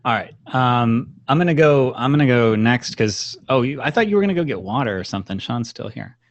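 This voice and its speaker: A man's voice: mid-range voice, expressive, fairly quick, no room sound. Guy hosting a podcast, loose rambly chat, dry kinda muffled audio. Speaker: podcast host.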